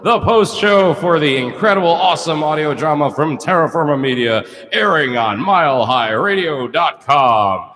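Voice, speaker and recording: A man hosting a live post-show chat with an emphatic, excitable delivery. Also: a little high, moderate pace, few pauses. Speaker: host.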